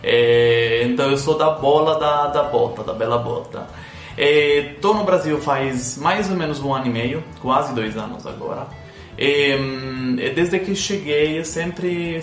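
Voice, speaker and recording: A man's voice: mid-range voice, reverberant. A Sicilian man speaking with an Italian accent in a casual interview chat, hesitant, with many fillers and restarts. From Sicily, Italy. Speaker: guest, citizenship consultant.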